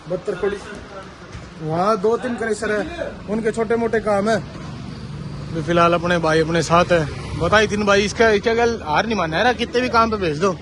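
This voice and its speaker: A man with a fairly high voice talks in a casual vlog style. His carries a flavour, and he explains things informally as he goes. The recording has clear background noise from the location. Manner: informal, chatty, explaining as he works. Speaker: vlogger and CCTV installer. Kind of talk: casual vlog talk addressed to viewers. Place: on location at work sites. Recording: clearly noisy location sound, traffic.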